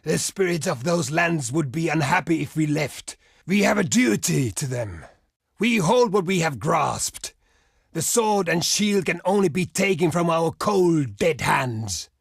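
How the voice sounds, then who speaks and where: Gravelly, proud barbarian chieftain. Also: male voice, fairly high pitch, lively intonation, a little slow, no echo, studio quality, heavily acted. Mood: proud, solemn defiance. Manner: solemn, declamatory, archaic phrasing. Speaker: video game character. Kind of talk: dramatic game diplomacy voice acting.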